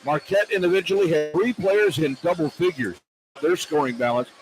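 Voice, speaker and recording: A man's voice: on the high side, normal speed, music in the background, poor audio. A man doing live play-by-play radio commentary at a basketball game, recapping as an announcer on an arena broadcast.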